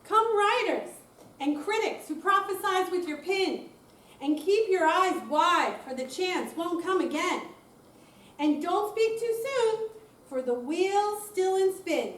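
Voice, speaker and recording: A woman's voice: very high-pitched, lively intonation, slow, a bit bright. A woman giving a dramatic recitation of verse in a live readers theater performance. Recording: echoey room, distant mic.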